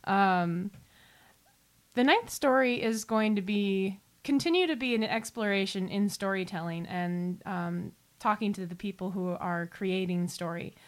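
A woman's voice: mid-range voice, measured pace. A woman co-hosting a podcast in casual chat, playful, rambling and self-deprecating. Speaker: podcast co-host.

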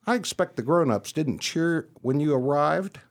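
A worldly-wise, friendly dog handing out frank advice in a radio play, played by a man. His voice sits a little high and swings about in a folksy, matter-of-fact way. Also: lively intonation, moderate pace. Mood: frank, advising. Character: streetwise, frank, friendly older-hand dog giving advice.

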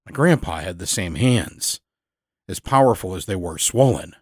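Low, dry-sounding male storyteller. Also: expressive, moderate pace, darkish tone, breathy edge, no echo. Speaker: storyteller, narrator. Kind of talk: dramatic first-person scripted narration.

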